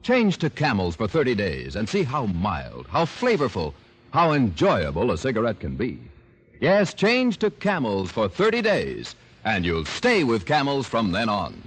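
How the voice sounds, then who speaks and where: A man's voice: medium pitch, huge pitch swings, a little slow. A man reading a scripted 1940s radio commercial as announcer, with an emphatic sales delivery.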